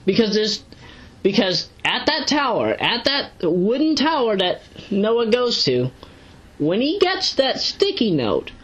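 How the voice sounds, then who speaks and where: Rambling woman with lively swings in pitch. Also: slightly rough. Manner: rambling, halting, self-correcting. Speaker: online video reviewer and narrator. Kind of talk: casual unscripted commentary recapping a web series.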